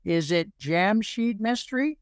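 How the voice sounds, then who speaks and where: A man's voice: high-pitched, lively intonation, slow pace, smooth, no echo, studio quality. A man hosting an informal interview, jokey and rambling, with a habit of repeating himself. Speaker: show host interviewing a guest.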